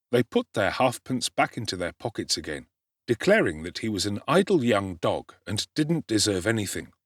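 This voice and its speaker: A man giving a dramatic, expressive audiobook narration of a classic novel, telling the story in a measured way. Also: fairly low pitch, dry recording, clean studio sound.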